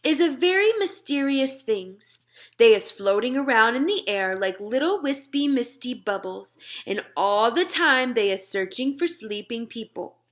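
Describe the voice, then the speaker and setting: Woman voicing a gentle, muddled, dreamy giant. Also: on the high side, animated, a little slow. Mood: mysterious. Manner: muddled grammar with whimsical made-up words. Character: gentle, secretive, dreamy old male giant. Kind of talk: children's storybook read-aloud.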